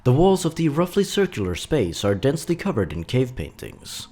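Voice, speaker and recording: A man's voice: mid-range voice, big pitch swings, normal speed, mellow. A man narrating scripted horror stories with a dramatic, suspenseful delivery. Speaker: narrator, host of a horror story countdown.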